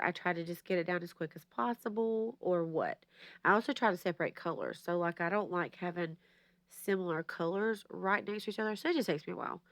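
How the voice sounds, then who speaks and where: A woman's voice: fairly low pitch, dry recording, studio quality. Chatty woman, slightly nasal. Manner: chatty, rambling, asks viewers questions. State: slightly stuffy, nasal from allergies. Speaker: planner vlogger. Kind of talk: casual rambling chat to camera.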